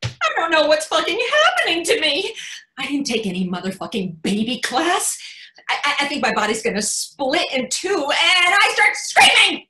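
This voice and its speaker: A woman's voice: sits high, huge pitch swings, moderate pace, sharp tone, full character voice. A woman playing a brash, panicky first-time mother in labor in a dramatic monologue, recorded on a video call. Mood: frantic panic. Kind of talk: dramatic monologue reading for readers theatre. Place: echoey room, video call. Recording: roomy echo over video call.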